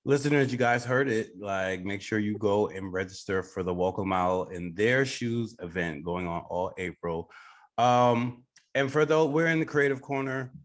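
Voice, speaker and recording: A man's voice: on the low side, moderate pace. A man hosting a podcast, interviewing a guest in a casual conversational way. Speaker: podcast host.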